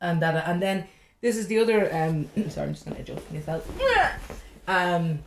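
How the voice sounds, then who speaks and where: A woman's voice: fairly low pitch, normal speed, a little hiss or hum. An Irish woman, a comedian guest, talking frankly and chattily in a casual interview. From Ireland. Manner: frank and chatty, with laughing asides. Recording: echoey room.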